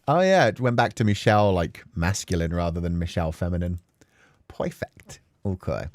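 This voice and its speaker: A man's voice: sits low, normal speed, dry recording. A man hosting a let's play of a visual novel, chatting casually and animatedly with lots of fillers. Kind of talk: casual game commentary.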